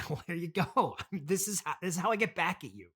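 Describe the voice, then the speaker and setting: A man plays a spoiled, rebellious son in a comedy interview. The voice is high and bright, with a sarcastic, scoffing edge. He teases and makes demands of his rich father as if owed everything. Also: animated, fairly quick, husky, heavily acted. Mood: scornful. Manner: dismissive and demanding, scoffing and teasing.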